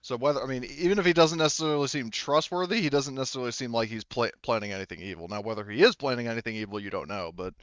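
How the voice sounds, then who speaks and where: Animated, smooth-voiced male game master. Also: medium pitch, very fast, few pauses, no room sound, dull audio. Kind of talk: casual improvised game narration and rulings.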